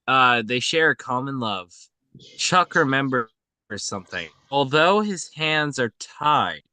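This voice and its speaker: A man narrates stage directions for a script table read. His voice is bright and dry, and he reads slowly and haltingly, often correcting himself. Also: mid-range voice, no echo.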